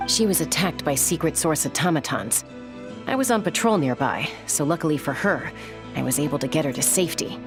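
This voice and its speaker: Low-voiced, confident young warrior. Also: female voice, animated, a little fast. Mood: cool, confident. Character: capable young woman warrior, confident, loyal protector. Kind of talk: video game voice acting. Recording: music behind the voice.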